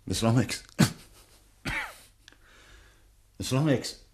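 Practical doctor in a radio play, mid voice, matter of fact, protective, bit of noise on it. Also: male voice, medium pitch, very slow. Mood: urgent. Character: practical male doctor, level-headed, protective, wary. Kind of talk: German radio play acting.

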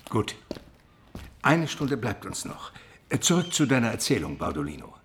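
A man's voice: medium pitch, big pitch swings, normal speed, creaky, a bit bright, a little hiss or hum. Curious male listener, radio drama: medium register, extremely varied intonation. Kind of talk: German radio drama acting.

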